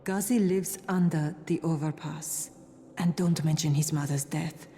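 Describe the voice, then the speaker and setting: A woman playing a worried, discreet medic in a game cutscene, speaking in a hushed, measured, confiding way. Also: deep voice, steady tone. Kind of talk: dramatic game cutscene acting. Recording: faint background noise.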